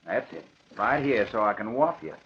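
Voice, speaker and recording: An actor playing a brutal, bullying small-time gangster in an old radio crime drama, rough and slangy. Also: male voice, on the low side, expressive, moderate pace, lightly acted. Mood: bullying, sneering. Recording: muffled, noisy old radio recording.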